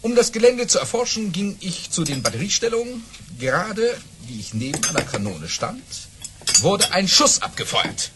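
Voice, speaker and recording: An actor playing a boastful baron and officer, telling tall tales grandly and dramatically in for a radio play. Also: male voice, sits high, sing-song, normal speed, noisy background. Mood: grand, dramatic. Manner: grandiose, dramatic, amused by his own boasts. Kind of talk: theatrical radio play storytelling.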